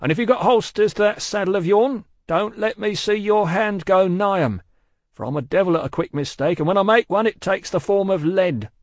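A man voicing a gruff, suspicious mail-coach guard in a storybook, challenging and threatening in rustic dialect. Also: on the high side, normal speed, no echo, studio quality, pitched above the performer's own voice. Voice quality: gruff, loud, roaring when calling out.

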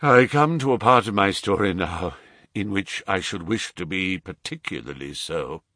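A man voicing an anguished, outraged father, swooping pitch. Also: expressive, moderate pace, pushed and bright, no room sound, clean studio sound, exaggerated voice. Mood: earnest, anxious. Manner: formal, melodramatic Victorian phrasing. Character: anguished, outraged middle-aged father recounting his tale.

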